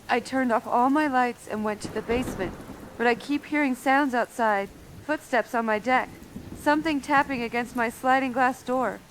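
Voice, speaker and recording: A woman's voice: high voice, a bit bright, slight background noise, rough recording. A woman playing a frightened, urgent radio phone-in caller hiding from an intruder in her home. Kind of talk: radio horror drama.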